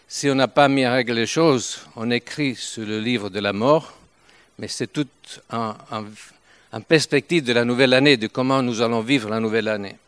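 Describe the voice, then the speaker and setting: A man in his seventies from Italy with an Italian accent, giving an informal sermon in a halting way, with frequent restarts and pauses. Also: mid-range voice, fairly bright tone, clear, resonant tone, no echo. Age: 70s. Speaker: preacher.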